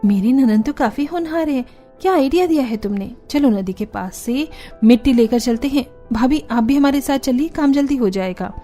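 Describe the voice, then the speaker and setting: High earnest young daughter-in-law, voiced by a woman. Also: moderate pace, dark tone, faint music behind, close to an everyday voice. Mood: encouraging. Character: young resourceful daughter-in-law, eager and encouraging. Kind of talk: Hindi cartoon story dialogue.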